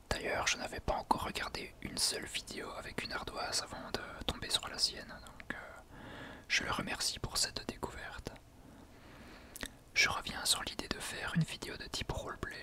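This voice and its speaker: Male ASMR host, fully whispered and breathy, gentle explanatory delivery with hesitant pauses. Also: high voice. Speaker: ASMR video creator, host. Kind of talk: whispered ASMR talk to listeners.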